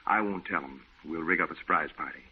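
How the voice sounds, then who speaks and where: A man's voice: extremely low pitch, quick pace, breathy edge. A man acting a breezy, reassuring friend in an old-time radio drama, with a hearty, folksy way of talking. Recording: muffled, narrow-band old radio recording.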